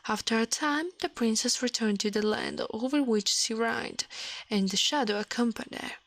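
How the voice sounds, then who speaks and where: A woman's voice: on the high side, moderate pace, no echo. Italian-accented woman reading a story, close and dry. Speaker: livestream host, storyteller.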